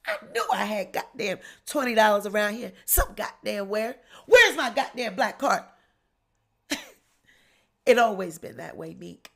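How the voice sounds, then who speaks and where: A woman's voice: medium pitch, measured pace, creaky. Sassy, nasal middle-aged woman gossiping. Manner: sassy, animated, swearing and laughing. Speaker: celebrity gossip host. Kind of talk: live-stream gossip commentary. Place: small studio with blue backdrop. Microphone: large studio mic on boom arm.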